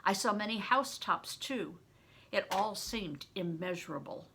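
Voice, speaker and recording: A woman's voice: mid-range voice, measured pace, fairly bright tone, slight background noise. An older woman, a grandmother, reading a story aloud expressively, as if to children. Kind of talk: read-aloud audiobook narration with plain asides.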